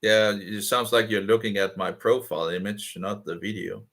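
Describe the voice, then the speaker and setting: A man from Denmark with a Danish accent, talking in halting, rough-edged speech with restarts on a casual video call. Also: fairly low pitch, steady tone, fairly bright tone. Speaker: video chat participant.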